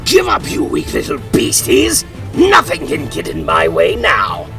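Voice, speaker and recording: A man's voice: fairly low pitch, wildly swooping pitch, measured pace, gravelly, faint music behind, exaggerated voice. A man playing a bombastic, theatrical older villain, a mad doctor, in a cartoon audio drama. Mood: gloating. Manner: gloating, theatrical, shouting.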